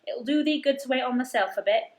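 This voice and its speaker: A woman's voice: sits high, fast, reverberant, voice pushed up, lightly acted. A woman voicing a good-natured, frank young Yorkshire housemaid with homely, blunt dialect speech in a storybook reading.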